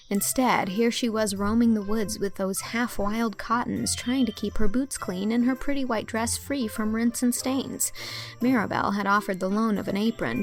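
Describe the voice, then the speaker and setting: A woman narrating a literary audiobook in a measured, articulate storytelling manner. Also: mid-range voice, creaky.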